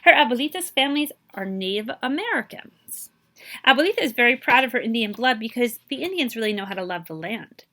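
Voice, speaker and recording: Bright, animated woman reading a picture book. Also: fairly high pitch, fairly quick. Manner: animated, emphatic delivery for young listeners; switches between English and Spanish. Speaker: librarian reading a children's book aloud. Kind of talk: expressive picture-book read-aloud with translations and asides.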